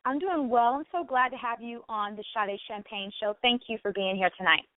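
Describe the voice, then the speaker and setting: A woman's voice: a little high, brisk, no echo. Woman radio host on a phone-like line. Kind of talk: online radio talk show hosting and interviewing. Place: call-in internet radio show. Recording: thin phone-like line, dry.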